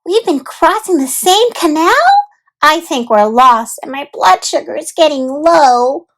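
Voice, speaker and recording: A woman's voice: high-pitched, lively intonation, measured pace, a bit bright, voice pushed up, heavily acted. A woman voicing an eager, demanding little girl piglet in a storybook, theatrical and pleading. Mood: indignant.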